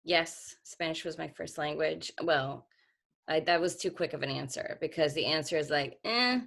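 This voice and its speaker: A woman's voice: deep voice, normal speed, gravelly, a bit bright. A woman author speaking earnestly on a video call, reflective, with frequent restarts. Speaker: author being interviewed.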